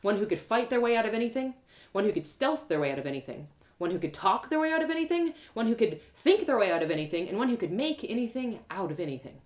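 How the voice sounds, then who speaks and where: A woman reading fiction aloud as an expressive first-person storyteller. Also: mid-range voice, fast.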